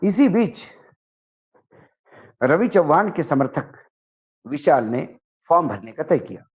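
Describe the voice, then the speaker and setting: A man giving political commentary, speaking emphatically and deliberately in short phrases as he recounts dialogue. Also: on the high side, sing-song. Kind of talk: political commentary, narrating and translating a reported conversation.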